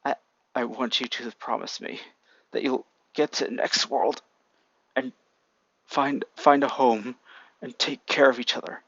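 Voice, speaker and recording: A man voicing a dying father who is a swordsman, speaking slowly and haltingly in a low, hushed voice. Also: steady tone, no room sound, lo-fi sound. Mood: pleading.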